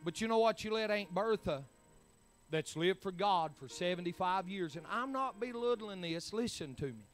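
A man's voice: high voice, expressive, moderate pace, faint music behind. A man, preaching a sermon in emphatic, rising bursts. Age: 40s. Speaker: pastor.

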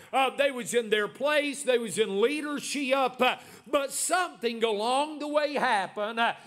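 A man's voice: extremely high pitch, fairly bright tone. A man in his forties preaching a sermon as pastor, with many "uh" fillers and emphatic repetition. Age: 40s.

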